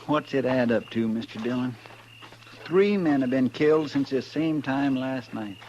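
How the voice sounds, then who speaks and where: An actor playing a deferential, plain-spoken Old West deputy in a 1950s radio drama, folksy and respectful. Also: male voice, mid-range voice, normal speed, a little mellow, close to an everyday voice. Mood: earnest. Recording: muffled, noisy 1950s radio recording.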